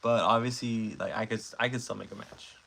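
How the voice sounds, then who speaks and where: Twenties guy rambling on a livestream, lowish gravelly voice, lots of likes and ums, bit of hiss behind. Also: steady tone, brisk, bright, forceful tone. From Mexico. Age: 20s. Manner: rambling, filler-heavy, self-correcting. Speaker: stop-motion creator hosting a livestream. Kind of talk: casual livestream chat, reading comments.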